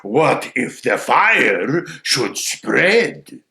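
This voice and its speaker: Man playing a loud, nagging folk-tale crow, echoey. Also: mid-range voice, sing-song, very slow, vocal fry, heavily acted. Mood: ominous, insistent. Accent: Russian. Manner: loud, emphatic, needling questions. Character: big nagging crow, gloomy, insistent worrier. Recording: roomy, echoing space.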